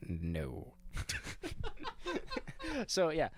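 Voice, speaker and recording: Low-voiced young man joking around. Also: wildly swooping pitch, very slow, background noise. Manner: joking, loose, swears casually. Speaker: podcast co-host. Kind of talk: casual chat.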